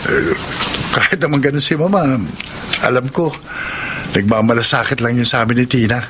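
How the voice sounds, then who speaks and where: Radio drama husband, male actor, young, patient and reassuring, mid-range voice, clear noise in the background. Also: medium pitch, moderate pace, lightly acted. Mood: warm, grateful. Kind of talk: Tagalog radio drama acting.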